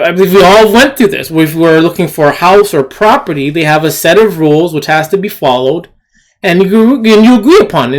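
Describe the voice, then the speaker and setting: A commentator giving blunt, indignant and disdainful off-the-cuff opinions, with a halting, repetitive, emphatic delivery. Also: medium pitch. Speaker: video commentator.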